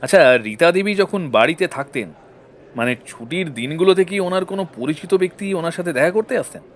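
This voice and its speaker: An actor playing a courteous, methodical defence lawyer questioning a witness in a courtroom audio drama. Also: male voice, a little high, lively intonation, quick pace, lightly acted. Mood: polite probing. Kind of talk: Bengali audio-story courtroom acting.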